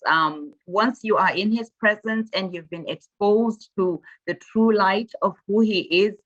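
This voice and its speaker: A woman, a minister speaking earnestly and reflectively as a podcast guest, laughing easily and pausing on fillers. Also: mid-range voice, bright, forceful tone, dull audio. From Zimbabwe. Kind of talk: casual testimony in an interview.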